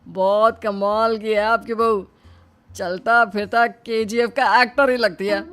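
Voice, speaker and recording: A woman's voice: mid-range voice, fairly even intonation, measured pace, fairly bright tone, natural voice. A woman voicing a cheeky, bullying male street robber in a cartoon story, blustering and stretching out threats. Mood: impressed. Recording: music behind the voice.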